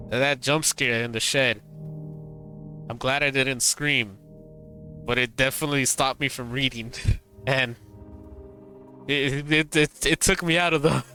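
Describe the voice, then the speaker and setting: Male streamer, bright tense tone, tired voice, faint music underneath. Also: medium pitch. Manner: halting, repeats words, laughs often. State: tired, strained voice. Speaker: streamer reading a visual novel. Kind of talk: reading game dialogue aloud, then casual chat.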